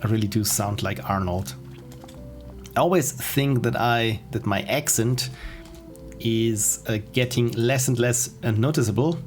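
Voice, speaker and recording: A middle-aged man with an Austrian accent, chatting casually and rambling as he edits on a livestream, with soft laughs and restarts. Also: medium pitch, unhurried. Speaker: streamer. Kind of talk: casual livestream chat while editing. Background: faint music and keyboard typing.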